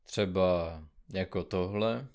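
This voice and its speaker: A man playing an impatient, grumpy investigative journalist in an audio comedy drama, with a gruff, curt, colloquial manner. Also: low voice, a little mellow. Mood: matter-of-fact.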